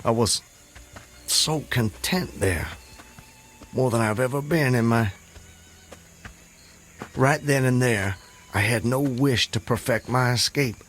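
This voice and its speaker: A man's voice: medium pitch, fairly bright tone, a little hiss or hum, close to an everyday voice. An actor playing a bewildered, wary, courteous man on the run in a radio drama, speaking hesitantly and formally.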